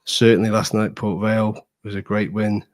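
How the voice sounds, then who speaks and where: A man's voice: on the low side, level tone, moderate pace. A man chatting casually and with strong opinions as a football podcast guest, emphatic and repeating phrases to drive points home.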